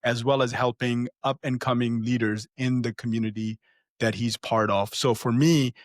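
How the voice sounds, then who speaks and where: A man hosting a podcast, introducing his guest in a relaxed way, with fillers and self-corrections. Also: mid-range voice, moderate pace, no echo, clean studio sound. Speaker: podcast host. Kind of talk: interview introduction.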